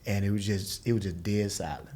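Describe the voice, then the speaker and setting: A middle-aged man from Louisville, a musician and guest telling stories in casual conversation. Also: brisk. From Louisville, Kentucky. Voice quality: mellowed former high singing voice. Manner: animated storyteller, quoting others with voiced impressions.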